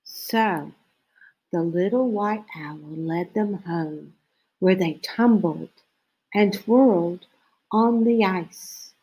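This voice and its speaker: A woman reading a children's story aloud in an expressive, storytelling manner with drawn-out phrases. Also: on the low side, slightly rough, rough recording. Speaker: storybook reader.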